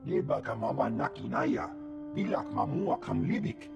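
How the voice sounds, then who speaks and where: An actor voicing a gruff alien general in a video game, speaking an invented tongue in a gravelly rasp. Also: male voice, fairly low pitch, steady tone, normal speed, lo-fi sound, music in the background. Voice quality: gravelly, rasping. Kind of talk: cinematic video game creature voice. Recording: long hall reverb.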